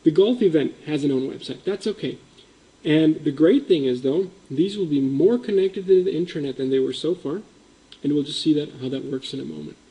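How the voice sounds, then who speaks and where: Male presenter, fairly high, mellow voice, explaining a system with ums and likes. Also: fairly quick, low-quality recording. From Indonesia. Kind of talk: conference presentation. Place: meeting room.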